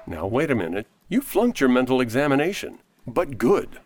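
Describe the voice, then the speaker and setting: A man's voice: mid-range voice, very animated, moderate pace, background noise, close to an everyday voice. A man playing an earnest, persuasive, idealistic lawyer in a radio play. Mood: exasperated. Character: earnest, idealistic male lawyer defending a friend.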